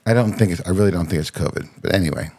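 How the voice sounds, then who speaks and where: A man with a deep, noticeably husky voice that is clogged by a sinus cold. He talks in a loose, rambling way, like a podcast host chatting casually with his partner. His throat sounds congested and a bit off. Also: rapid-fire, darkish tone.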